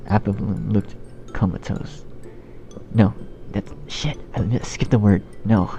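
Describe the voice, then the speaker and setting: A man narrating fan fiction in outtakes, halting and restarting, swearing at his own slips in a self-deprecating way. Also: fairly low pitch, steady tone, creaky. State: shivering from cold. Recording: dull lo-fi sound, loud music. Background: loud music.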